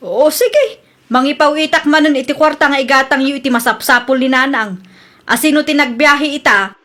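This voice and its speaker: A woman's voice: high voice, animated, moderate pace, a bit bright. A woman acting a bossy, exasperated wife and mother who runs the household money in a radio drama. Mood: busy, dutiful.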